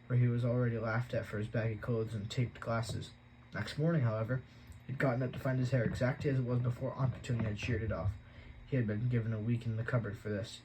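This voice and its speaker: A man narrating an audiobook in a steady, mid-pitched voice. Now and then he stumbles over a word and corrects himself. A faint hiss sits behind him. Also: poor audio. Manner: steady reading, occasional slips and self-corrections. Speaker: audiobook reader.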